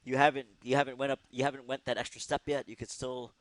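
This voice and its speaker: A man co-hosting a relaxed, conversational recap of episodes. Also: mid-range voice, fast, no echo. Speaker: co-host reviewing cartoon episodes. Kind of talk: casual chat recapping episodes.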